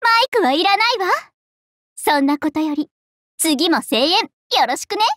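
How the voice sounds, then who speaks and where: Super high girly anime game princess, haughty n bubbly, swoopy delivery, close dry sound. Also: lively intonation, moderate pace, a bit bright, dry recording. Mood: proud, showy. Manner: haughty, theatrical, playful, self-admiring. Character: vain, bubbly young princess idol singer, self-styled Cinderella. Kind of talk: Japanese anime mobile game voice acting.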